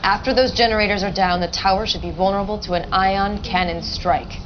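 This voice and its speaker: An actress playing an earnest, businesslike military intelligence officer giving a briefing in a video game. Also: female voice, medium pitch, a little fast, lightly acted. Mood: focused, worried. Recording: dull lo-fi audio, faint noise.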